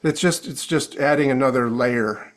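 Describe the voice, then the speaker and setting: Male guest, mid-pitched, reflective teacher type who explains by asking questions. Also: moderate pace. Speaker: podcast guest, storytelling coach. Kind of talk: casual interview chat.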